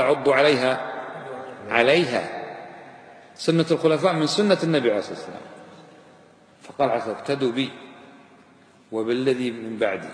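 Fast-talking male preacher in an echoing hall. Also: mid-range voice. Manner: didactic, emphatic, with rhetorical questions and repetition. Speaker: Islamic preacher and teacher. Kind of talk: informal religious lesson, mixing formal and colloquial Arabic. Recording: echoey hall with long reverb.

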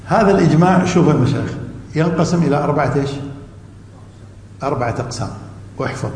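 A man's voice: a little high, darkish tone. A man teaching a class on religious law. He quizzes the students with half-finished questions and repeats the key terms. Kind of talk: classroom lecture. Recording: echoey hall with faint room noise.

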